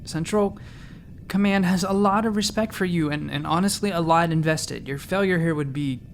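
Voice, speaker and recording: An actor playing an earnest, wry doctor in a sci-fi comedy audio drama, by turns sarcastic and flustered, with halting delivery. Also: male voice, a little high, vocal fry, a little hiss or hum. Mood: dry, pointed. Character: earnest, wry young doctor sent to watch his superior. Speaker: doctor, chief of surgery.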